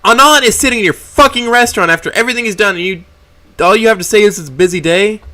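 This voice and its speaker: A man playing Trish, furious and shouting. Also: on the high side, lively intonation, brisk, no room sound, voice pushed up. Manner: profane, shouting in rage. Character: furious young dinosaur narrator, Trish. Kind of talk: reading a fan story aloud.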